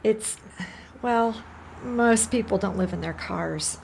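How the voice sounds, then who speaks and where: A woman playing a distraught, ashamed mother who speaks haltingly and stammers, in an audio drama. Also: medium pitch, creaky, a little mellow, slight background noise, low-quality recording. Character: distraught, ashamed young mother living in car.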